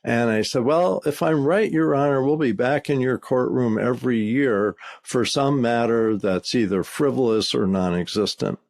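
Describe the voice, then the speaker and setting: A man's voice: mid-range voice, normal speed, gravelly, few pauses, darkish tone. A man co-hosting a podcast, explaining as a legal expert in an emphatic, plain-spoken, conversational way.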